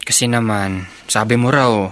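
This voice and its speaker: A man's voice: lowish voice, steady tone, unhurried, a bit bright. A man playing a resentful, plaintive young older brother who sides with his father in a radio drama. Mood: hurt, resentful. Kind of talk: Filipino radio drama acting.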